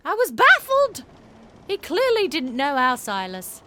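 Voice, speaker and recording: Bold, high-voiced seafaring woman. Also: huge pitch swings, measured pace, bright, forceful tone, slight background noise, exaggerated voice. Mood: cheeky, dramatic. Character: bold, playful, flirtatious seafaring woman, a storyteller. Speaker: actor playing a seafaring woman. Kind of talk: period audio drama acting.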